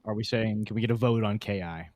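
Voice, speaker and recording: Lower-pitched young man chatting, dry sound. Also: quick pace, a little mellow, no echo. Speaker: podcast panelist. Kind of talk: casual group chat.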